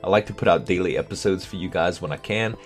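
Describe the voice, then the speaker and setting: A young man with a deep voice, casually answering viewer questions for his gaming channel, relaxed and hesitant with many ums. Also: quick pace, faint music behind.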